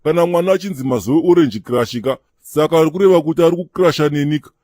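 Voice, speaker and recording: A man voicing a cocky young Zimbabwean township troublemaker in a comedy cartoon, raspy, insolent and slangy. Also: on the high side, quick pace, darkish tone, dry recording, natural voice. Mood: boastful. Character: cocky, mouthy young township troublemaker with rude-boy swagger.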